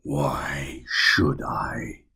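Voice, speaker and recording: A man playing a suave, mocking inhuman god in human form in an audiobook, with a gravelly whisper and drawn-out, genteel delivery. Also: rumbling low, fairly even intonation, sharp tone, full character voice. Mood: cold, taunting. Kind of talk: audiobook villain acting.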